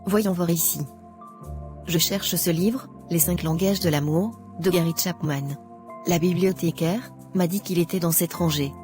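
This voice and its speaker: A woman playing a sincere, polite young student in love in an animated drama. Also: lowish voice, measured pace, lightly acted. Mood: friendly. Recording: music behind the voice.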